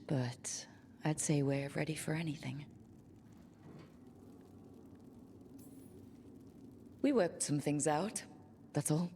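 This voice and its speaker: Frank, low-voiced adventurer heroine. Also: female voice, a little mellow, a little hiss or hum. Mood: wry, encouraging. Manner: earnest, reasoning, frank. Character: steady, caring, frank female adventurer talking a companion through pain. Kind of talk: dramatic video game voice acting.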